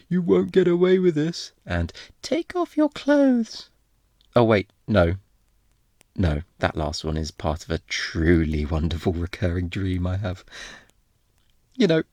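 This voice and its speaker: Man playing a cocky flirtatious thief, low-voiced. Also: darkish tone, dry recording, studio quality. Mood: playful, mocking, then flirty, coaxing. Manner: teasing, theatrical, sarcastic, swooping into mock quotes. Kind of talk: ASMR roleplay acting.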